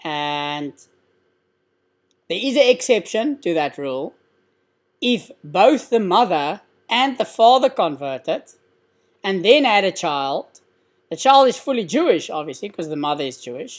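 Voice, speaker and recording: A man's voice: sits high, very animated, unhurried. Afrikaans-accented man answering viewer questions. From South Africa. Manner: conversational, explanatory, with frequent fillers. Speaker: live stream host answering questions. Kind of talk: casual Q&A live stream.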